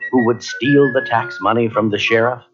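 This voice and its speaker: A man narrating a children's read-along story in a measured, expressive way. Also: medium pitch. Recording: dull cassette, faint music behind.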